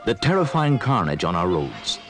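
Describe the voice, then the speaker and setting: Low, animated male film narrator over music. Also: moderate pace. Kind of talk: grave 1970s public information film narration. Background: loud music.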